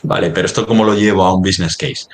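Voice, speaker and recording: A man from talking business conversationally, halting and rephrasing as he goes, often ending on tag questions. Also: deep voice, fairly even intonation. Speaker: company representative in a webinar.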